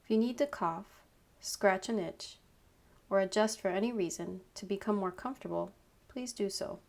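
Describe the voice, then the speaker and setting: A woman leading a guided meditation as a yoga nidra teacher, giving calm, unhurried instructions in short phrases with pauses. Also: medium pitch, vocal fry.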